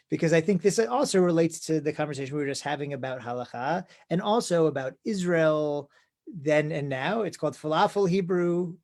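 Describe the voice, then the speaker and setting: Higher-voiced rabbi asking thoughtful questions. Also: male voice, a little mellow. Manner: thoughtful, hesitant, restarts often. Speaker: rabbi, interviewer. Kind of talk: conversational interview.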